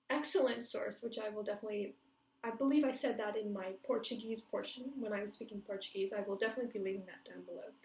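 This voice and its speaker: A woman's voice: on the high side, darkish tone. Woman, casual language vlog, fillers and self-checks, recorded in an echoey room at some distance from the mic. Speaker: language-learning vlogger. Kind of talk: casual talk to camera. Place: home room, cars outside. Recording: echoey room, voice a bit far off.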